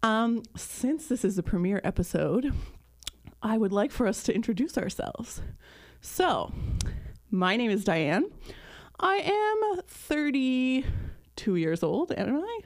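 A woman in her thirties from Canada, hosting a podcast in casual chat with many ums and pauses. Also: fairly high pitch, very animated. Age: 30s. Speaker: podcast host.

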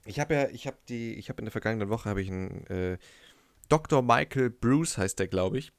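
A young man co-hosting a casual podcast chat in German, halting and restarting often and laughing easily. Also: on the low side, no room sound, studio quality. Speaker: podcast co-host.

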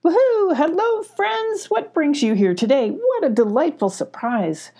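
Woman putting on a high, excitable motherly owl. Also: unhurried, heavily acted. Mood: delighted. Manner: exclaiming, repeats words, hooting calls. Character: cheery, fussing, motherly old owl.

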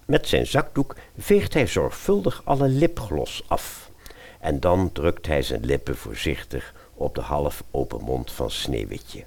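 A man's voice: extremely low pitch, creaky, a little mellow, husky. A man narrating a radio fairy tale in a dramatic storytelling style.

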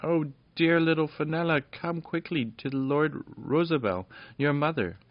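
A man piping up as a flock of tiny storybook fairies, squeaky and fussing over each other, their high voices noticeably louder than the reading around them, on a dull, dry recording with little room sound. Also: normal speed, dry recording, close to an everyday voice. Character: high twittering crowd of fairies.

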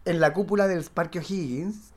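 A man with a Chilean accent tells anecdotes as a guest in a casual conversation. His delivery is animated and slangy. He often halts and searches for the next word. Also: on the high side, crowd noise. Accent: Chilean Spanish. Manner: halting, slangy, animated storytelling. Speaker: podcast guest, stage actor.

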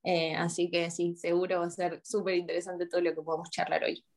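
A woman from Buenos Aires in her thirties, speaking with a Rioplatense accent, joins the talk as a psychologist guest. Her voice is somewhat low, and she agrees readily and talks in a friendly, conversational way. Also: level tone, fairly quick, gravelly, a little mellow. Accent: Rioplatense Spanish. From Buenos Aires, Argentina. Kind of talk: casual interview chat.

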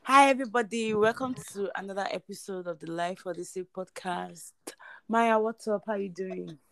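A woman's voice: mid-range voice, moderate pace, gravelly. A young woman from Nigeria co-hosting a podcast, casual and playful, teasing her co-host and saying she is tired. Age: young adult. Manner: playful, teasing, laughs often. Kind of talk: casual chat.

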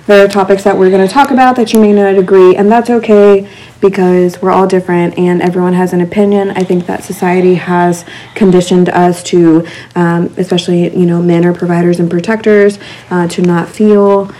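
A Texan woman with a slight country twang co-hosts a podcast in easy, frank chat. Her voice sits a little low, and her points are earnest, often fading into softer asides. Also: flat delivery, a little fast, rough recording. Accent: light country Texan. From Texas. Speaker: podcast co-host. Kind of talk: casual chat.

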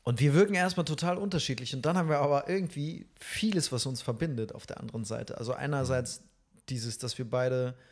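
A man's voice: medium pitch, a little fast. A man in his thirties hosting a casual podcast, enthusiastic and friendly, laughing easily as he talks. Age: 30s. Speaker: podcast host.

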